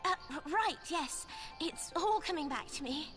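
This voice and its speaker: An actress voicing an excitable, forgetful young female scholar in a video game cutscene, bubbly and impulsive. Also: sits high, unhurried, soft background music. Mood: sheepish.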